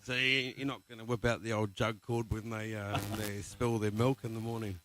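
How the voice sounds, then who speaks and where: Casting: male podcast host, lowish voice, wisecracking questions. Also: level tone, a little fast, music in the background. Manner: joking, teasing questions. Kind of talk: casual podcast interview.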